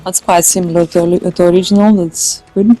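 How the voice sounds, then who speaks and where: A woman's voice: fairly low pitch, little pitch movement, a little fast, mellow, soft background music, poor audio. A woman giving casual let's play commentary, hesitant and thinking aloud as she goes. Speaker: gamer, let's play host.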